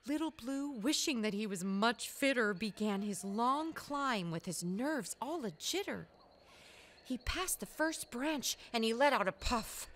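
Animated, high-pitched woman reading to children. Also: measured pace. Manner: animated, playful, emphatic. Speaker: children's storybook reader. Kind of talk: expressive read-aloud with chatty asides for young children.

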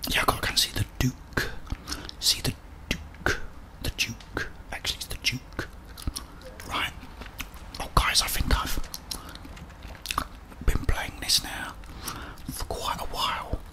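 A man speaking in a soft, breathy whisper close to the microphone, as in an ASMR game playthrough. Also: takes it slowly, long pauses, poor audio. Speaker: ASMR gamer and host.

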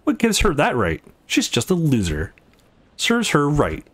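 Man acting out a sneering, cocky young male game bully, contemptuous, with swinging pitch. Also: huge pitch swings, a little slow, fairly bright tone, husky, no room sound, exaggerated voice. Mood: scornful. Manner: taunting, contemptuous.

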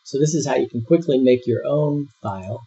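A man giving a screen-recorded software tutorial, walking through each step in a relaxed way with frequent fillers. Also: medium pitch, brisk, slightly rough. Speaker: software instructor. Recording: dull lo-fi voice-over.

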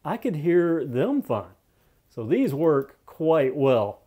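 Casual male reviewer, slightly high mellow voice. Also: big pitch swings, slow, husky. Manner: conversational, thinking aloud, repeats phrases for emphasis. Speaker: product reviewer. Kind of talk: casual unscripted product review.

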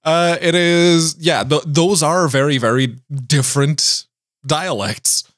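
A male podcast co-host with a fairly high voice, recorded close and dry. He jokes around and laughs easily as he chats casually into a boom-arm mic in his home studio. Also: slow pace, fairly bright tone, no room sound.

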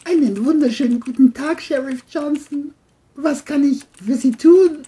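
A woman's voice: sits high, measured pace, dark tone, voice pushed up. A woman voicing a brazenly lying male killer in an audio crime story, with a mock-polite, evasive manner. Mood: falsely cheerful.

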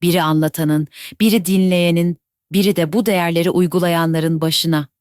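A woman telling a children's bedtime fairy tale in a gentle, even storytelling voice. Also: lowish voice, a little fast, clean tone, no room sound, clean studio sound.

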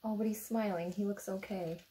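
A woman in her thirties with an American accent, reading a picture book aloud to young children expressively, pausing to ask them simple questions. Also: medium pitch, fairly even intonation, heavy background noise. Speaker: storytime reader for children. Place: indoor room beside a book display.

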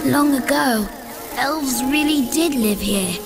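A woman voicing a small, earnest elf in a motion comic, speaking gently and sincerely. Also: sits high, takes it slowly. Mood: earnest, wistful. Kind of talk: dramatic voice acting for a manga motion comic. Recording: loud music over the voice.

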